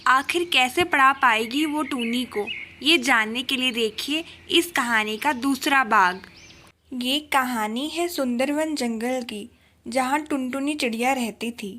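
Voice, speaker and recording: A woman's voice: sits high, bright, forceful tone. A woman narrating a scripted children's story.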